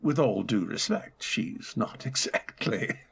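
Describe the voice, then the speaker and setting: A wealthy, melancholy gentleman, acted by a man speaks formally in an old-time radio play. His voice is husky and creaky, moving freely up and down within a polite reserve. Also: medium pitch, lively intonation, slow, exaggerated voice. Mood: curious, charmed.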